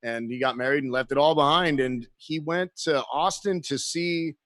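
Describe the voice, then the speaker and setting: A man tells band stories in casual chat with a voice a bit higher than most men's. He acts out other people's lines and swears freely. The sound is close and dry, with no room in it. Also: moderate pace, dry recording. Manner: animated storyteller, acts out quotes, swears freely. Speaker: guest musician.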